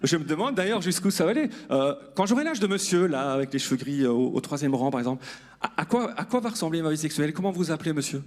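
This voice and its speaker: A man's voice: on the high side, very animated, quick pace. A man performing stand-up on stage, self-deprecating and speaking directly to the audience. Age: 40s. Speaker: stand-up comedian. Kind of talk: stand-up comedy monologue to an audience. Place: live comedy club stage.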